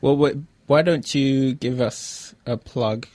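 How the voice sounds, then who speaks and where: A man's voice: mid-range voice, slow pace, a little mellow, no echo. A man hosting a casual interview and putting questions to his guest. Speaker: podcast host, interviewer.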